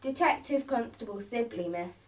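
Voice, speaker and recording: Distant, high-voiced housemaid played by an actress. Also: female voice, expressive, normal speed, lightly acted. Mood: apologetic, deferential. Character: polite, deferential housemaid. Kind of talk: radio play acting.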